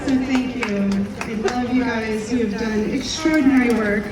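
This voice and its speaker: A woman's voice: a little high, moderate pace, a loud crowd. Woman thanking a crew, echoey and distant. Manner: grateful, heartfelt. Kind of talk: wrap-party speech. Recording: distant echoey hall with loud music.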